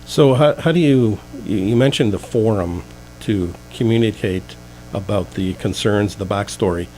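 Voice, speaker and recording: A man's voice: fairly low pitch, normal speed, a little mellow, slight background noise, poor audio. A man hosting a radio talk show from a studio, reading out contact details in an announcer-like way before talking with guests.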